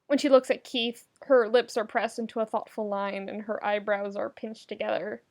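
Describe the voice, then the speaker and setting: A woman reading comedic fiction aloud as a narrator, with an expressive, wry storytelling delivery. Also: mid-range voice, moderate pace. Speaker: podfic narrator.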